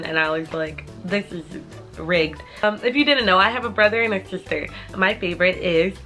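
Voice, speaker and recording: Woman, 20s, vlogger. Casual rambling Q&A to camera, heavy on fillers, mid pitch, light music bed. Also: bright, forceful tone. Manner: chatty, rambling with many "like" fillers.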